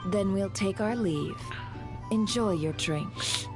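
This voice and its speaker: A woman playing a composed, shrewd intelligence broker in a video game, measured and articulate. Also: mid-range voice. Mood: composed, confident. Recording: music under the voice.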